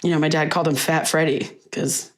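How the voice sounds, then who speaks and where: An actress playing a young grieving daughter in a naturalistic audio drama, talking in a halting, conversational, wistful way. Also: female voice, low voice, steady tone, natural voice. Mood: fond reminiscing. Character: grieving daughter reminiscing fondly about her late father.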